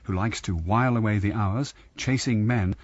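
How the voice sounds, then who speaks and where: A man's voice: fairly low pitch, moderate pace, no echo. A man giving a scripted introduction as a radio announcer. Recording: dull, muffled radio recording.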